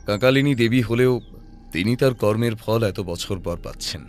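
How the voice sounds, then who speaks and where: তীক্ষ্ণবুদ্ধি গোয়েন্দা পুরুষ অভিনেতার কণ্ঠে, নিচু স্বর. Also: male voice, on the low side, moderate pace, soft background music, lightly acted. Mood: deducing, decisive. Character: shrewd, composed male detective reasoning through a mystery. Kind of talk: Bengali detective audio drama acting.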